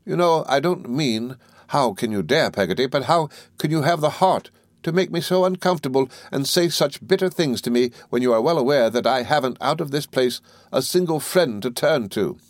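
A man's voice: animated, moderate pace, no echo, clean studio sound, lightly acted. A man voicing a distraught young mother, pleading in a raised, tearful voice. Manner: tearful high-pitched pleading.

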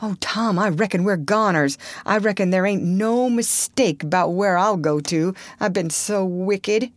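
A woman's voice: mid-range voice, animated, unhurried, no echo, clean studio sound, pitched above the performer's own voice. Woman playing a plaintive, timid ragamuffin boy. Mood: woeful. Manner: plaintive, anxious, gasping. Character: timid, gullible, woeful ragamuffin country boy.

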